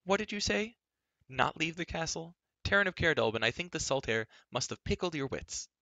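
A man's voice: a little high, lively intonation, a little fast, creaky, pushed and bright, no echo, highs cut off, pitched above the performer's own voice, exaggerated voice. A man voicing a spirited, headstrong, talkative young girl in a storybook, chattering emphatically and with indignation. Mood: indignant. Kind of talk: acted audiobook dialogue.

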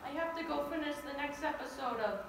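A woman's voice: high-pitched, brisk, creaky, crowd noise. A young woman performing a comedic stage monologue as an exasperated old grandmother, dramatic and complaining. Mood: grudgingly pleased. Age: young adult. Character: feisty, exasperated old Italian American grandmother from Brooklyn. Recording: distant, echoey, noisy hall recording.

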